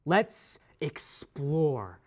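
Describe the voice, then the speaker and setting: A man's voice: fairly high pitch, very animated, drawn-out words, full character voice. A man playing a pedantic teacher in an instructional play, stressing key words in a didactic way. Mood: instructive. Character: pedantic male teacher patiently correcting a student. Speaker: readers theater performer. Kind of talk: scripted instructional stage dialogue.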